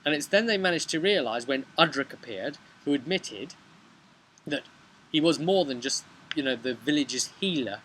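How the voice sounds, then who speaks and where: A man's voice: fairly high pitch, animated, moderate pace, fairly bright tone. A man, casually retelling a tabletop role-playing session as game master, recorded outdoors on a camera mic. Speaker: game master recapping his campaign. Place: outdoors on a bench beside a brick house. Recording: noisy outdoor home video recording.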